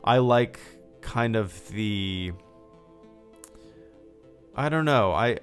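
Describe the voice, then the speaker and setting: Low-voiced man chatting on a livestream. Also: animated, drawn-out words, soft background music. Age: 30s. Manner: rambling, full of fillers and restarts; reads out viewer thank-yous. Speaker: livestream host. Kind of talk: casual livestream chat.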